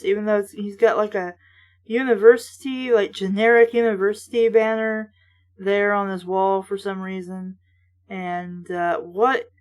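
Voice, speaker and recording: A woman hosting an informal read-aloud of books, with casual, snarky asides along the way. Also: on the high side. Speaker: host reading books aloud.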